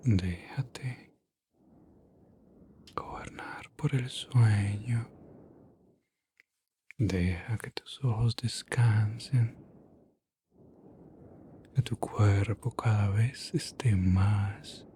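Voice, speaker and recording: A man playing a gentle vampire in an ASMR roleplay, murmuring and whispering soft, breathy reassurance with long pauses to lull someone to sleep. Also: lowish voice, slight background noise. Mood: tender, soothing. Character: gentle male vampire soothing an adventurer to sleep.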